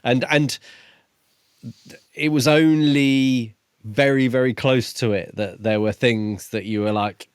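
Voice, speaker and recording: A man's voice: medium pitch, fairly even intonation, slow pace, clean tone, no room sound, clean studio sound. A man co-hosting a podcast in a casual discussion about books. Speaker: podcast co-host.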